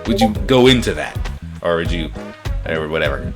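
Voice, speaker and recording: A man's voice: low-pitched, lively intonation, normal speed, music in the background. A man hosting a casual podcast chat, rambling, often repeating himself and swearing freely. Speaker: talk show host.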